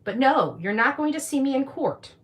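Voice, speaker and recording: A woman's voice: high voice, very animated, moderate pace, lo-fi sound. A woman in her fifties talking combatively and dismissively in a livestream rant, swearing with heavy emphasis. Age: 50s.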